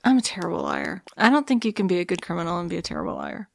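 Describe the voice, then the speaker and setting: A woman's voice: lowish voice, rapid-fire, creaky, very noisy. A woman co-hosting a true crime podcast, telling the story in a chatty, self-deprecating way. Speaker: podcast co-host.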